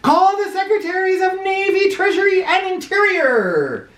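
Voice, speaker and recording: Storybook president, male, stout and easily exasperated, played by a woman; animated swings, grandiose bellowing, roomy sound. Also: very high voice, normal speed, pitched above the performer's own voice. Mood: exasperated, blustering. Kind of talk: children's storybook character voice. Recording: roomy, somewhat far from mic.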